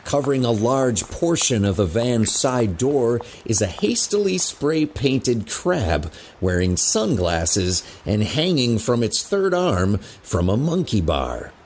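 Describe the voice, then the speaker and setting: Male narrator for audio fiction, unhurried, animated pitch, faint noise behind. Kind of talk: scripted narration.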